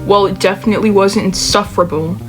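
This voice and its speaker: A woman's voice: mid-range voice, steady tone, quick pace, faint music behind, close to an everyday voice. A woman voicing an irritable, curt young businessman in a story game. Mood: irritated.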